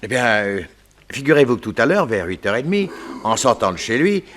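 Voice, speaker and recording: Radio play character,: chatty, theatrical coroner, excitable and fussy, with very lively intonation. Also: male voice, medium pitch, fairly quick. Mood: fussy, agitated. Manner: garrulous, theatrical, hesitating with fussy asides. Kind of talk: French radio play acting.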